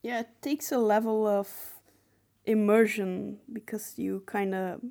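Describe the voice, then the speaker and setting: A woman's voice: medium pitch, fairly even intonation, measured pace, vocal fry, darkish tone. A woman talking casually as a podcast co-host in a conversational discussion.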